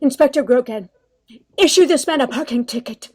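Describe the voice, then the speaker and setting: A woman's voice: high voice, fairly quick, darkish tone. A woman playing a mean, indignant small-town local who scolds people in a comic radio play. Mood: indignant scolding. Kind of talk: amateur noir comedy radio play.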